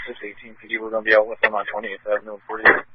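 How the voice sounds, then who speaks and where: A man's voice: on the low side, monotone, very fast, breathy edge, dry recording. A man with an American accent, a police officer making terse, clipped, procedural call-ins over a police radio. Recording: muffled lo-fi police scanner radio.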